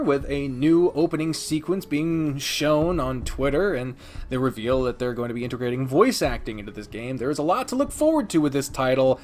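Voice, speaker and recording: A man hosting a casual countdown review of video games, rambling and informal, swearing freely and speaking with emphatic opinions. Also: medium pitch. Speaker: video game reviewer and channel host. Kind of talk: casual countdown review commentary, partly scripted.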